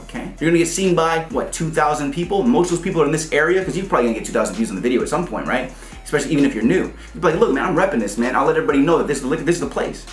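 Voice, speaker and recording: A man giving casual music business advice, fast and animated as he breaks down examples. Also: a little high, reverberant. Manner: fast, animated, pointing things out. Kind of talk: casual advice talk to the camera.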